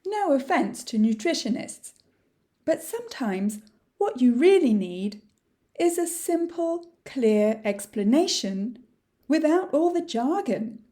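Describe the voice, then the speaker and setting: A woman's voice: high-pitched, sing-song, takes it slowly, dark tone. A woman hosting a podcast, from Quebec and a native French speaker, speaking upbeat and straight to her listeners. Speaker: podcast host. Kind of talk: podcast interview and promotional outro.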